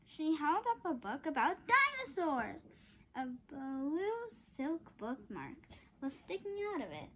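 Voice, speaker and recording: A woman reading a children's chapter book aloud, haltingly, stumbling over long words. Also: high-pitched, wildly swooping pitch, pushed and bright. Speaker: storybook reader.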